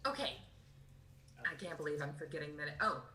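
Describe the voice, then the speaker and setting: Deep-voiced woman, chatty, echoey distant call. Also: brisk, bright, forceful tone. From United States. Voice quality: deeper voice. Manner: chatty, rambling, laughs often. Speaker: voice actress guest. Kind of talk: casual convention panel interview. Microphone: video call. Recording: echoey, distant, faint background noise.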